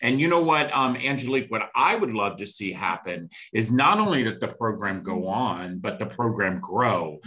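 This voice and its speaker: A man's voice: fairly low pitch, lively intonation, normal speed, hardly any pauses, bright, forceful tone. Blunt, emphatic man in his fifties hosting. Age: 50s. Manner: blunt and emphatic, frequent "you know" and "okay". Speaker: show host. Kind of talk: podcast interview hosting.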